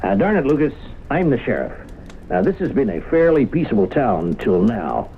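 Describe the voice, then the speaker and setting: Folksy sheriff on tinny old radio. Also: male voice, medium pitch, sing-song, moderate pace, slight background noise. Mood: exasperated. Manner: folksy, blustery, hesitating. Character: folksy, exasperated small-town sheriff. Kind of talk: radio drama acting.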